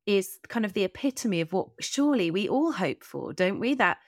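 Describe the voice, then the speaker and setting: A middle-aged woman talks as a podcast interviewer in a medium-pitched voice. She is earnest and articulate, building long, considered sentences. The recording is very dry, with no room sound. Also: big pitch swings, moderate pace, no echo. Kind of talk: conversational interview.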